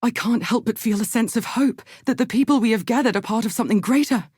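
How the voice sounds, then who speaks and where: An actress voicing a thoughtful, cautious, scholarly exile in a video game, speaking in a measured, articulate and earnest way. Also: female voice, on the high side, darkish tone, breathy edge, no echo, studio quality. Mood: earnest, hopeful. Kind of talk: scripted video game character dialogue.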